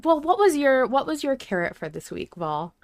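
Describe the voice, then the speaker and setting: A woman's voice: high voice, big pitch swings, normal speed, no echo, studio quality. A woman co-hosting a podcast in a casual, emphatic fan discussion, swearing freely. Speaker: podcast co-host.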